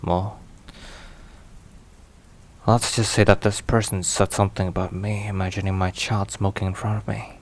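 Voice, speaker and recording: A man playing a weary, caring mafia boss boyfriend in an ASMR roleplay, hushed and sighing between phrases. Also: fairly low pitch, little pitch movement, moderate pace, lightly acted. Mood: wry, reflective.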